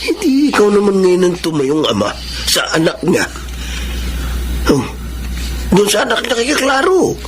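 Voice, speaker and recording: An actor playing a curious, frank, easygoing Filipino friend in a radio drama. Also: male voice, high-pitched, huge pitch swings, a little slow, pauses often, a little hiss or hum, exaggerated voice. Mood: wry, frank. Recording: music bed under voice.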